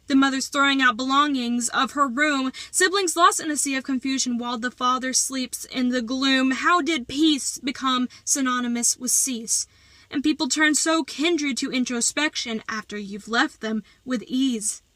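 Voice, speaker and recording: A woman performing slam poetry as a poet, with dramatic, deliberate delivery and emphatic phrasing. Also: high-pitched, bright, forceful tone, dry recording.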